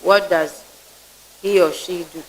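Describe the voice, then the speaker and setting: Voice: medium pitch, fairly even intonation, takes it slowly, low-quality recording. A vetting panelist, asking blunt, probing questions and giving critical remarks. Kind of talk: interview questioning.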